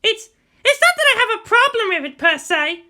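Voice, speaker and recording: Fiery indignant teen girl for a story, super high and shouty, huffy, swoopy pitch. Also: sing-song, moderate pace, heavily acted. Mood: huffy, outraged. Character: fiery, indignant teenage girl, loud and bratty.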